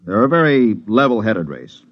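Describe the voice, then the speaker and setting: A man's voice: lowish voice, sing-song, moderate pace. An actor playing a tough, level-headed spaceman who narrates an old radio drama with a simmering temper. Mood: matter-of-fact. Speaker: narrator and lead character. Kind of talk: mid-century radio drama acting and narration. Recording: muffled old radio recording with music.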